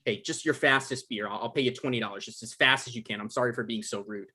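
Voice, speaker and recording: A man's voice: medium pitch, quick pace, bright, forceful tone, slightly rough. Awkward, defensive guy, acted by a man who stammers. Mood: flustered, apologetic. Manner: stammering, hedging. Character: evasive, awkward, defensive man meeting his exes. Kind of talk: conversational table read acting. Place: online live stream table read.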